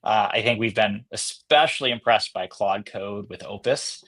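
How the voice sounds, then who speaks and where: Man, lowish pitch, tech executive guest, casual interview talk, hesitant, thinking aloud. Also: normal speed, a bit bright.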